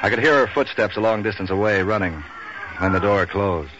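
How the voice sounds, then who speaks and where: An actor playing a tough, cynical, street-wise man for hire in a 1940s radio detective show, with an American accent and clipped, deadpan wisecracking delivery. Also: on the low side, a little fast, music in the background, lightly acted. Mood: sardonic narration. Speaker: lead character and narrator. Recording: dull, noisy old radio recording.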